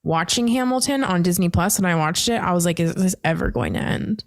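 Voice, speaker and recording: Woman podcast host, kinda low voice, rambly casual chat, very dry recording. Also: brisk, no room sound.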